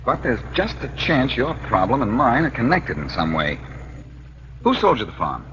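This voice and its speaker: An actor playing a brisk, wry, determined American detective in 1940s radio drama, with clipped, assured delivery. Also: male voice, medium pitch, big pitch swings, lightly acted. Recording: dull, noisy old 1940s radio recording.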